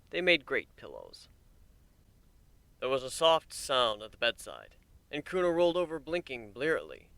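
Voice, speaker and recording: A man's voice: mid-range voice, sharp tone, no room sound. A man narrating a story as a dramatic reading.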